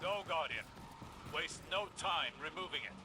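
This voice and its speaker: An actor playing a stern, dignified military commander in a video game, formal, measured and commanding. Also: male voice, a little high, animated, natural voice. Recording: loud game music and vehicle noise behind.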